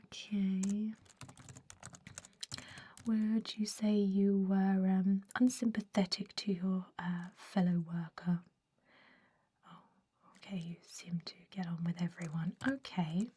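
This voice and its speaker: A woman's voice: medium pitch, little pitch movement, takes it slowly, long pauses, mellow, slight background noise, natural voice. A woman playing a centuries-old, fussy vampire recruiter in an ASMR roleplay, whispering with a hesitant, politely dismissive manner. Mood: politely unimpressed.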